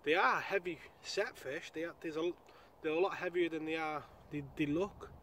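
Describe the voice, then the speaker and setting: Young guy vlogging his fishing, chatty and upbeat, slightly high voice, faint outdoor noise around. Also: normal speed, sharp tone. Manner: chatty, upbeat, with frequent fillers. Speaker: angler vlogger. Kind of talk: casual outdoor commentary. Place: outdoors on a pond bank. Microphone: action camera mounted nearby.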